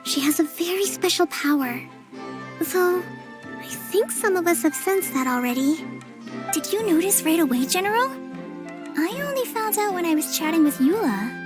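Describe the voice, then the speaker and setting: Very high-voiced earnest young girl. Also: animated, normal speed, music in the background. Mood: thoughtful, earnest. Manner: earnest, thoughtful. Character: earnest, curious, sweet young girl adventurer. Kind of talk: video game cutscene acting.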